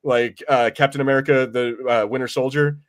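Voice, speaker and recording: A man's voice: mid-range voice, steady tone, a little fast, clean tone. A man chatting casually as a podcast co-host, in a rambling, slangy way. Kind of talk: casual movie review chat.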